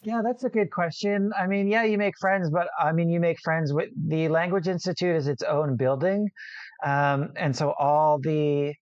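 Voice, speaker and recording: A man's voice: fairly high pitch, fairly even intonation, moderate pace, dry recording. Guy student rambling thru interview answers, lots of ums and restarts, muffled dry call audio. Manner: hesitant, many restarts and fillers.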